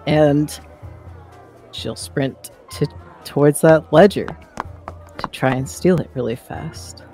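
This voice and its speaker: A man playing a tabletop roleplaying game, improvising third-person storytelling in halting, deliberate phrases. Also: medium pitch, a little mellow.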